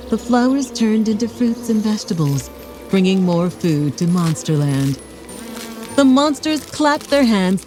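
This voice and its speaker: A woman's voice: lowish voice, mellow. A woman reading a scripted children's story aloud as narrator, expressively, for young children.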